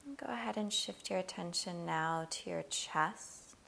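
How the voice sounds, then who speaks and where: Low, creaky-voiced woman guiding meditation. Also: slight background noise. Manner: gentle, unhurried instruction with long pauses. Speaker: meditation guide. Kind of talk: guided body scan meditation.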